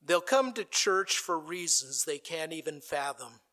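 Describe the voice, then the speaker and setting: A pastor preaching at a conference, building to a dramatic recited speech. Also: male voice, fairly high pitch, animated, a little slow, bright, forceful tone. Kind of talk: sermon. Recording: played from an archive recording.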